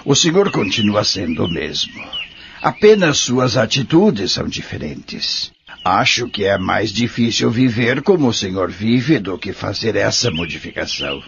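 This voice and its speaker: An actor playing a patient, devout old man with a Brazilian accent, counseling in a gentle, measured way in a radio drama. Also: fairly low pitch, vocal fry, background noise. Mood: patient, persuasive. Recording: dull lo-fi audio drama recording.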